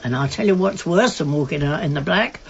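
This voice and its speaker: Feisty old wife, lively swooping delivery, indignant and teasing, radio play with dull sound. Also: female voice, sits low, fairly quick, vocal fry. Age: older. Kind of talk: radio drama acting.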